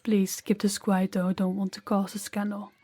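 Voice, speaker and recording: A woman playing a gentle, candid young princess in an intimate audio roleplay, soft-spoken and confiding with hesitant pauses. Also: medium pitch, completely flat delivery, slight background noise, close to an everyday voice. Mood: earnest, uneasy.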